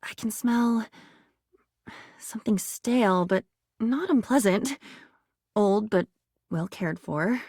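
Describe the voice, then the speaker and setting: A woman voicing a whimsical, neurotic living diary in a video game, with a theatrical, rambling way of talking that swings between wonder and excitement. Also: medium pitch, takes it slowly, long pauses, breathy edge, no echo, clean studio sound. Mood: hushed, reminiscing. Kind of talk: video game character acting.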